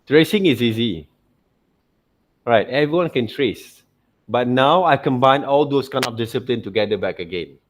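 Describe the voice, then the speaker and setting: A man giving an informal tutorial as a teacher, often checking in with little tag questions. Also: mid-range voice. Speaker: art teacher. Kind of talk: informal screen-share tutorial commentary.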